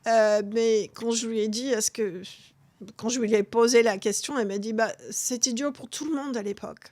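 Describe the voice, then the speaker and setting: A woman, talking as a guest author in an interview, halting with many restarts and fillers. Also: a little high, fairly even intonation, darkish tone, no echo. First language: English. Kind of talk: interview conversation.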